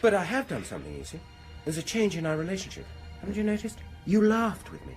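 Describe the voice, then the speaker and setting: Radio thriller: a persuasive British dentist, lowish and very expressive, deliberate, theatrical, hushed at moments, music underneath. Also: male voice. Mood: confident, persuasive. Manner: persuasive, deliberate, theatrical, dropping into near-whispers. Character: smooth-talking, insistent male dentist coaxing a frightened patient. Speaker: actor in a radio drama. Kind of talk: radio horror drama acting. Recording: radio drama with music behind.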